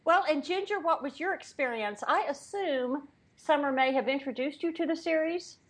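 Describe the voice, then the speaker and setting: A woman hosting an interview, asking her guests expressive questions. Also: high voice, normal speed. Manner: expressive questioner, laughs often. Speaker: host.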